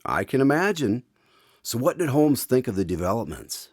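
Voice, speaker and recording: A man's voice: mid-range voice, sing-song, moderate pace, dry recording, studio quality. A man playing an eager, inquisitive host in a radio drama, speaking formally as announcer and listener. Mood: curious. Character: eager male host visiting a doctor to hear his stories.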